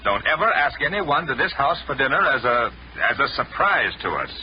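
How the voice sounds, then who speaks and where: A man's voice: medium pitch, big pitch swings, fairly quick, slight background noise, close to an everyday voice. A man playing a stern, curt, authoritative father in 1940s radio comedy. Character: stern, brusque father laying down the law. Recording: dull old radio recording, audience behind.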